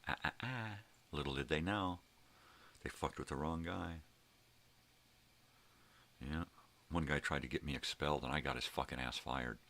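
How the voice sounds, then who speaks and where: Very deep, gravelly man telling stories. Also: normal speed, long pauses, pushed and bright. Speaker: host of a daily personal vlog. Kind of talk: rambling casual storytelling, swearing.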